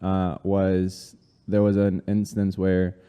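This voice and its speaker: A man in his thirties from Colombia talks through his story at an easy pace, with plenty of "uh" and "like" along the way. His voice is low, very dark and smooth. It has a long hall reverb around it. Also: takes it slowly. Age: 30s. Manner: relaxed, with many fillers. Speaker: guest. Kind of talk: casual interview conversation.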